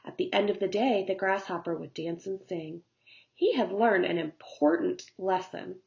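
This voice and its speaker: A woman narrating a story for young children as a teacher would, in simple, deliberate phrasing. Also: fairly low pitch, creaky, darkish tone, lo-fi sound.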